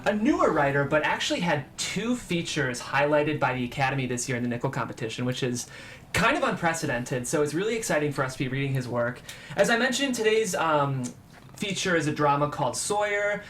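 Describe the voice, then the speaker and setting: A man with a fairly high voice hosts and narrates a screenplay reading. There is light room noise behind him as he presents the script. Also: big pitch swings, a little fast, fairly bright tone. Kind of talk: show presenting and scripted stage-direction narration.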